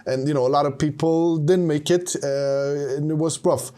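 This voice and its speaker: A man from Romania hosting a casual podcast interview, asking curious, halting questions full of fillers. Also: a little high, steady tone, dark tone. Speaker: podcast host.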